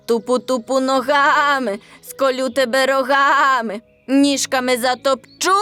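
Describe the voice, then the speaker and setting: A woman voicing a fierce, boastful nanny goat who chants menacing, drawn-out rhymes in a children's folk tale. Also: high voice, fairly bright tone.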